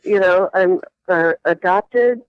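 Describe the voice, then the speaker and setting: A middle-aged woman from the American Midwest talks through her past in a reflective, rambling way, circling back with restarts. Her voice is smooth and clear, but the recording is dry and dull, like lo-fi remote audio. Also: lowish voice, takes it slowly, no echo. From Lincoln, Nebraska, US Midwest. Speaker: interview guest. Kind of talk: candid personal storytelling.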